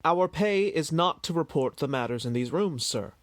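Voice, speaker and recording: A man's voice: a little high, lively intonation, normal speed, no room sound, lightly acted. A man playing a dutiful, discreet household servant in a period audio drama, formal, deferential and earnest. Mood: conspiratorial, careful. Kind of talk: period stage-play audio drama acting.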